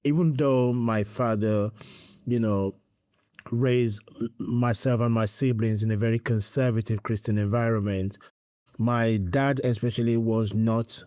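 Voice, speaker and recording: A man's voice: medium pitch, steady tone, unhurried, a little mellow, no echo, studio quality. A middle-aged man from Nigeria, a priest answering interview questions earnestly and quoting scripture. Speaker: priest and guest.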